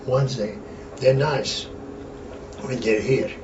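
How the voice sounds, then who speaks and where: A man's voice: mid-range voice, steady tone, slow pace. Blunt old man, distant roomy sound. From Antwerp, Belgium. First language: Flemish. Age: older. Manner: blunt, rambling, swears freely. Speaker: retired wrestler, interviewee. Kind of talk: casual reminiscing chat. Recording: distant, roomy, dull lo-fi with background hiss.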